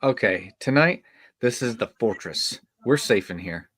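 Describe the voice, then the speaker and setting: A man's voice: fairly low pitch, lively intonation, unhurried, fairly bright tone, husky, no echo, studio quality, full character voice. A man acting an earnest, awkward, hopeful young male camp counselor in a horror script table read. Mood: determined.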